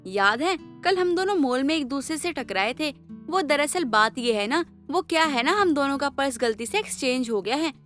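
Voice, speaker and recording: A woman playing an outspoken, expressive young wife in a story drama. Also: high voice, moderate pace, soft background music. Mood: polite. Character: outspoken, feisty young wife quick to complain. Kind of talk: Hindi moral-story audio drama acting.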